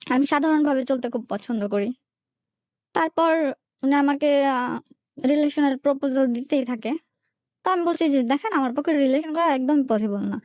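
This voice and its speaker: A woman's voice: high voice, normal speed, long pauses, darkish tone, no echo, clean studio sound. A young woman with a Bangladeshi accent tells a personal story in an interview, colloquially, recounting conversations turn by turn. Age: young adult. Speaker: guest telling her life story.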